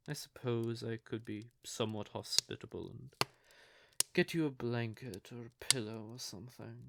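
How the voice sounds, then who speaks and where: A man voices a cold, possessive male vampire in a roleplay audio drama. He speaks slowly at a medium pitch, with theatrical, condescending menace toward a lover who betrayed him. Clear background noise sits in the recording. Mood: condescending control. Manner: theatrical, slow, condescending, deliberate.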